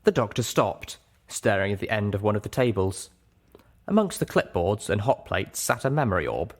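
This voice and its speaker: A man's voice: lowish voice, big pitch swings, moderate pace. A man reading scripted first-person fiction aloud as an audiobook narrator.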